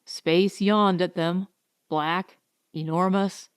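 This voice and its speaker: A woman reads a scripted science fiction audiobook as its narrator. Her voice is smooth and clean, and she takes the sentences at an unhurried, slow pace. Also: fairly low pitch, fairly even intonation, dry recording, studio quality.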